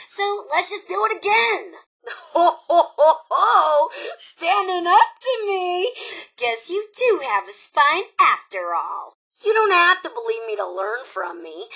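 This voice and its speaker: A woman voicing a brash, sarcastic, scheming young-sounding female raider robot in a video game, with a raspy, sassy, slangy delivery. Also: sits high, bright, forceful tone, full character voice, rough recording. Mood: mocking. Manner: sassy, slangy, mock-sweet, flippant. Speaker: quest-giving game character. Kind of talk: video game character dialogue.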